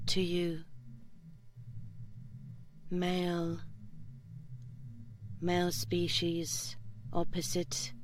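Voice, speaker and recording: A woman voicing a seductive, curious slime creature in an intimate audio roleplay, speaking soft, halting broken English in short fragments, often whispering. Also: fairly low pitch, slight background noise. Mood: hushed, wary.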